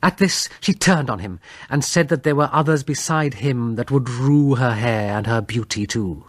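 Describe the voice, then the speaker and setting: A man narrating a horror audiobook in a dramatic, suspenseful, measured storytelling style with deliberate pauses. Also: medium pitch, no echo, clean studio sound.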